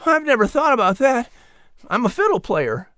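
A narrator acts out an earnest young colonial boy for a children's audiobook. He speaks high and excitably, grateful and eager, with a very lively swing. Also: normal speed, no room sound, studio quality.

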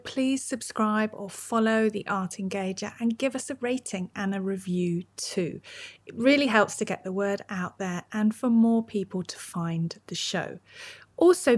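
A woman's voice: fairly high pitch, no echo. Woman podcast host, dry sound. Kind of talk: podcast hosting, interview and scripted outro.

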